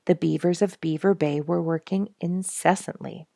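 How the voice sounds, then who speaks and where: Creaky-voiced woman reading a storybook aloud. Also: on the low side, fairly even intonation, normal speed, warm tone, dry recording, clean studio sound. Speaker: children's story reader, regular of the show. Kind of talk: expressive storybook read-aloud narration.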